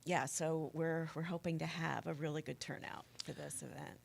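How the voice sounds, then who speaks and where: Lower-pitched woman, halting interview guest. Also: steady tone, gravelly. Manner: halting, earnest explaining. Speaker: activist organizer, interview guest. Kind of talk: unscripted radio interview.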